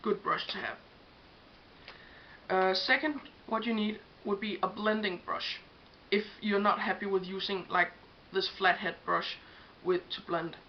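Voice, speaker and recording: A woman's voice: medium pitch, fairly even intonation, measured pace. A young woman from Denmark with a Danish accent, talking through makeup brushes in a casual, hesitant way with many ums, English not her first language. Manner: chatty, hesitant, many ums while showing products. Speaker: makeup vlogger. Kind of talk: casual beauty tutorial. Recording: dull lo-fi home recording with faint hiss.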